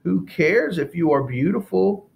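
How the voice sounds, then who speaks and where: A man voicing a gentle, shy little male mouse with a soft, sweet voice. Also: high voice, expressive, unhurried, vocal fry, pitched above the performer's own voice, heavily acted.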